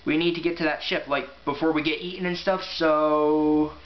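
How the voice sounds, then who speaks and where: A man's voice: fairly high pitch, fairly even intonation, moderate pace, close to an everyday voice. A man reading the part of a stubborn, awkward young demigod boy in a storybook. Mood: urgent, awkward. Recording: echoey room, muffled, faint hiss.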